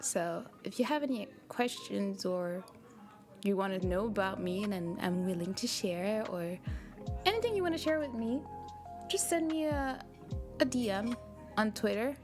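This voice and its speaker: A woman's voice: medium pitch, lively intonation, normal speed. A young woman from Quebec with a French Canadian accent, chatting casually with her livestream viewers, laughing often as she answers their messages. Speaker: streamer. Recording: music playing behind. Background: background music.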